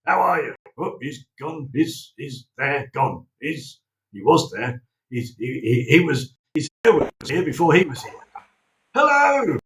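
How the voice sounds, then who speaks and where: A man hosts a live chat stream, rambling through stories with plenty of ums and false starts. His voice sits a little high and swings widely in pitch. The room around him rings with echo. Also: sing-song, a little slow, a bit bright, a little hiss or hum. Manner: rambling, chatty, with many ums and restarts. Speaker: host.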